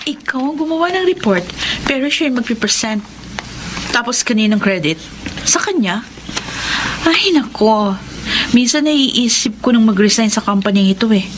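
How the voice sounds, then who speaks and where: A woman's voice: a little high, lively intonation, moderate pace, pauses often, noisy background, lightly acted. An actress with a Filipino accent playing an indignant, protective female office friend in a radio drama, frank and disapproving.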